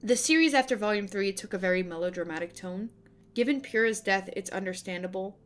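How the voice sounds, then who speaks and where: A young woman delivering opinionated, scripted video-essay commentary with casual asides, in an expressive, emphatic manner. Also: mid-range voice, fairly quick. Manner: expressive, emphatic, gushing and griping. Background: background music.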